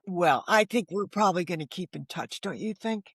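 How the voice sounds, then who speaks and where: A woman's voice: fairly low pitch, normal speed, dry recording, clean studio sound. An American woman in her seventies chatting in a casual interview, anecdotal and emphatic in how she talks. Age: 70s. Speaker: podcast guest, novelist.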